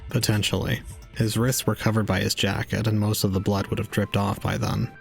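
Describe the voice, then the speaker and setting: Man acting deadpan, gravelly low young suspect. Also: fairly quick, faint music behind. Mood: detached. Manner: deadpan, clinical. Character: detached, matter-of-fact young male suspect. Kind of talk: audio drama acting.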